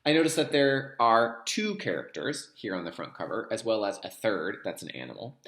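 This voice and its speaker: A man's voice: lowish voice, big pitch swings, moderate pace, a bit bright, echoey room. A man teaching young children through a read-aloud, speaking to them directly and explaining as he goes. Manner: addresses young listeners directly, repeats key terms. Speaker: kindergarten teacher reading a picture book aloud.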